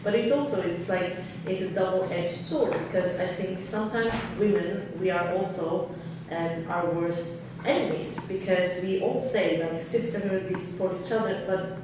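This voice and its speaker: A woman's voice: on the low side, moderate pace, hardly any pauses. A woman from Norway speaking on a seminar panel, candid and thinking aloud with fillers, in a large hotel conference room. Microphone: table mic heard from far off. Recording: very echoey room, far from the mic, noisy.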